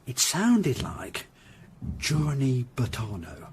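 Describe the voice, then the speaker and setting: A man's voice: mid-range voice, huge pitch swings, slow, slight background noise. An actor playing an anxious, hesitant Tudor master tradesman giving reluctant, deferential witness in a period radio drama. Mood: deferential, confiding. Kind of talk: period audio drama acting.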